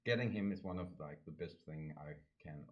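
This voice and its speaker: A man's voice: extremely low pitch, fairly quick, highs cut off. A young man from Denmark with a Danish accent, chatting casually and hesitantly as a guest, circling around his point. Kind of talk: casual interview chat.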